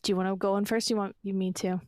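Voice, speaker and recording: A woman's voice: mid-range voice, little pitch movement, fast talker, no room sound. A woman plays a tabletop game with casual, chatty delivery. Her mid-range voice sounds close and dry.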